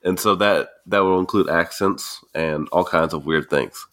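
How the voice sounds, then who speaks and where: A man's voice: low-pitched, a little slow, a bit bright. A man co-hosting a casual podcast, joking and laughing often. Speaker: podcast co-host.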